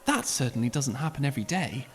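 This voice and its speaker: A man voicing an eager, slightly nervous young man full of wide-eyed excitement. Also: mid-range voice, fast talker, faint music behind, natural voice.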